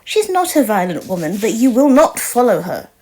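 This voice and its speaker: A quiet, soft-voiced woman speaks in a fairly high register and tells a personal story straight to camera. Her delivery is earnest and pleading. Now and then she sinks toward a whisper. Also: very animated, moderate pace, poor audio. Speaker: storytelling vlogger. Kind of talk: casual storytime monologue.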